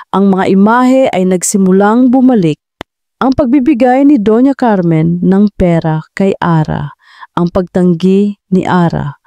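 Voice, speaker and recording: A woman's voice: mid-range voice, dark tone, dry recording. A woman reading a dramatic love story as a scripted narrator.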